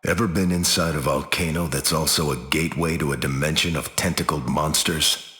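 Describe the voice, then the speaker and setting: A steady, husky team leader. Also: male voice, extremely low pitch, fairly quick, in a large hall, heavily acted. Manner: calm, earnest, commanding. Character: steady, upright male veteran team leader. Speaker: video game hero.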